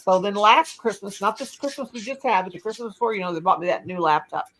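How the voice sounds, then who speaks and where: A woman's voice: mid-range voice, fast, a bit bright, poor audio. An older woman hosting a casual live chat on her laptop, telling a rambling story full of restarts. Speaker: channel host.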